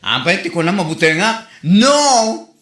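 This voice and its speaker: A man's voice: sits high, sing-song, measured pace, a bit bright, heavily acted. A man with a Filipino accent playing a theatrical, mocking, scolding neighbourhood busybody in a comedy drama. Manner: theatrical, exclamatory, teasing. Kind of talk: Ilocano comedy drama acting. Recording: roomy, echoing voice recording.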